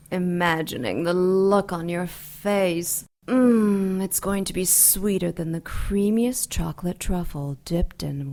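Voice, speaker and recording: Slow, seductive dark angel. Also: female voice, fairly low pitch. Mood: savoring, gloating. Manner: purring, teasing, theatrical, savoring her words. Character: seductive, vain ancient dark angel woman, amused and menacing. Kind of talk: audio drama acting.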